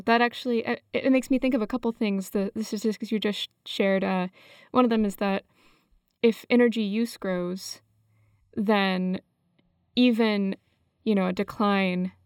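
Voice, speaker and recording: A woman's voice: medium pitch, steady tone, measured pace, no echo, studio quality. A woman co-hosting a conversational podcast, speaking reflectively and phrasing her points tentatively. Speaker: podcast co-host.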